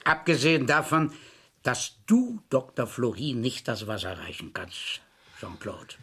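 Strict dad in an old radio crime play, husky, very animated pitch, dry and bossy. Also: male voice, unhurried. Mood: dry, reproving. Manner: dry, reproving, authoritative. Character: stern, dry older father of two, police investigator. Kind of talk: German radio crime drama acting. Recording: vintage radio play studio recording.

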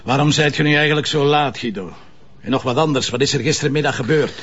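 An actor playing a gruff, impatient police commissioner with a Flemish accent in a radio play, terse and dry. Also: male voice, medium pitch, fast talker, a bit bright. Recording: dull lo-fi tape.

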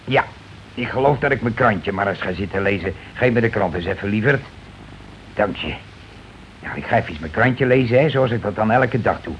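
An actor playing a bossy, calculating working-class husband in a Dutch radio play, blunt and argumentative in colloquial speech. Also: male voice, lowish voice, expressive, normal speed, close to an everyday voice. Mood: scheming. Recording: dull, noisy old radio recording.

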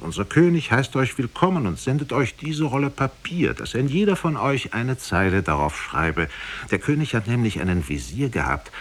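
Low husky male storyteller, expressive. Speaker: narrator of a radio fairy tale. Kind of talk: 1950s radio drama storytelling, scripted narration.

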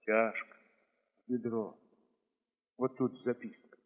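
A man voicing an old, taciturn accordionist in an audio drama, speaking tersely and haltingly in a subdued way. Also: medium pitch, flat delivery, big-room sound, narrow, tinny audio, close to an everyday voice. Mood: grave, subdued. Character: old taciturn male accordionist, a quiet heavy smoker.

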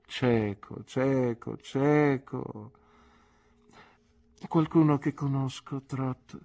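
A man's voice: medium pitch, expressive, a little slow, vocal fry, darkish tone, husky, voice pushed up, exaggerated voice. A man putting on a shrewd elderly aunt. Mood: wistful. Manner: clipped, teasing, knowing remarks. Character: brisk elderly aunt, shrewd and gently scolding. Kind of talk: audiobook character voice.

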